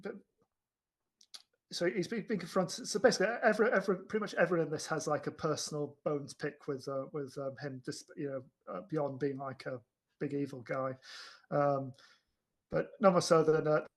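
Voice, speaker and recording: Male film podcast co-host, voice a little high, casual, halting and rambling. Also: steady tone. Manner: halting, rambling delivery with repeated starts.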